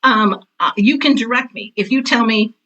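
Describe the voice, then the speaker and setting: Bright-voiced, emphatic older woman. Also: on the high side, moderate pace, highs cut off. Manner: self-described intense, direct. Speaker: guest, theater teacher and author. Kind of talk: casual interview talk.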